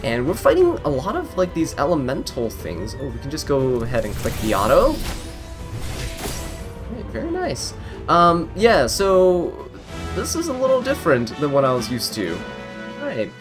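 Young male gaming creator, casual and amused, animated delivery, prone to tangents, light music underneath. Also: a little high, moderate pace. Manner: chatty, amused, given to tangents. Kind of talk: casual gameplay commentary. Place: voice-over recorded apart, game music behind.

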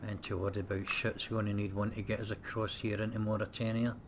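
A man's voice: lowish voice, level tone, fast, background noise. A man casually commentating while playing a strategy game, chatty and muttering to himself, on a close desk mic. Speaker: let's play gamer. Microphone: desk mic set close.

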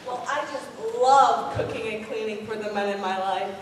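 A woman's voice: fairly high pitch, moderate pace, close to an everyday voice. A teenage girl playing a sweet, homely fairy-tale princess in a live stage comedy skit. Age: teens. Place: school auditorium stage. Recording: distant echoey noisy auditorium.

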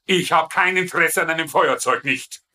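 A man's voice: a little high, normal speed, bright, forceful tone. A man playing a nervous, bumbling, good-natured German camp guard in a sitcom, protesting fussily. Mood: sheepish, curious. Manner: fussy, repetitive protesting. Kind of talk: dubbed sitcom comedy.

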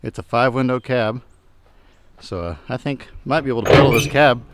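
A man in his thirties giving a relaxed, plain-spoken walkthrough of his junkyard, often trailing off quietly at the end of a remark. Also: mid-range voice, normal speed, very noisy. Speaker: junkyard owner and channel host. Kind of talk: casual vlog walkthrough. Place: outdoor junkyard and inside a pickup truck.

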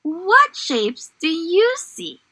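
A woman teaching young children online, reading aloud and asking questions in an encouraging, animated manner. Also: very high-pitched, drawn-out words. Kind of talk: children's online lesson with story read-aloud.

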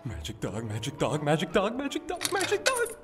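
Simple-hearted, enthusiastic male fighter for a comic dub, played by a man; high, very animated, boyish. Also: takes it slowly, soft background music, exaggerated voice. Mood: eager.

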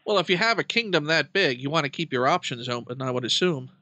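Bright, higher-pitched man interviewing casually. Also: lively intonation, quick pace, no echo, lo-fi sound. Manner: dry, wry joking. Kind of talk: casual bantering interview chat.

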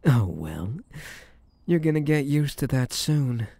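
A smug mafia boss, acted by a man, talks close and dry in an intimate audio roleplay. His voice is soft-edged and mellow and he takes his time. He teases and commands, possessive and menacing, with pitch that swings freely. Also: big pitch swings, vocal fry, no room sound, full character voice. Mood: seductive, menacing. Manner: slow, teasing, commanding. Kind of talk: intimate ASMR roleplay acting.

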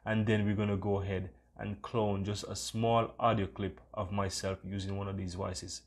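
A man's voice: lowish voice, little pitch movement, normal speed. A man hosting a casual screen-recorded tutorial, talking in an enthusiastic, repetitive way. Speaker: tutorial host.

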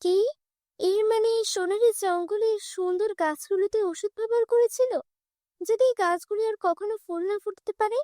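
Woman voicing a high-pitched, commanding forest fairy. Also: normal speed, mellow, dry recording, studio quality. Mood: stern, questioning. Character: stern but kindly female forest fairy.

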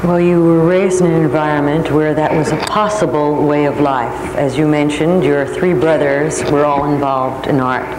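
In a reverberant hall, a middle-aged woman asks formal interview questions in a measured way. The old recording is roomy, with clear background noise. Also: deep voice, fairly even intonation. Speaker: television interviewer. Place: curtained stage before a small audience, indoors. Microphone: lapel or neck-hung microphone. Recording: echoey hall, noisy old videotape.

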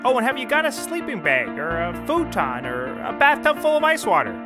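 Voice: medium pitch, huge pitch swings, a little fast, music in the background. An actor in a scripted comedy playing a brash, hapless private detective who rambles and corrects himself. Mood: eager, pitching. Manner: rambling, self-correcting, emphatic. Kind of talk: scripted audio comedy acting.

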